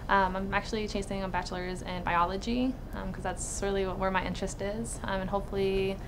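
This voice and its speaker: A woman's voice: medium pitch, little pitch movement, brisk, noisy background. A woman in her twenties from Texas, a biology student talking informally about research in an interview. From Texas, United States. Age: 20s. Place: indoors in a laboratory.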